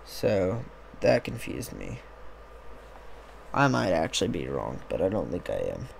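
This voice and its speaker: Rambling young man, slightly hoarse. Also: low voice, wildly swooping pitch, unhurried, a little hiss or hum. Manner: rambling, meandering, many fillers. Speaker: streamer. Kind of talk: casual live-stream chat. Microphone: desktop USB mic on a boom arm.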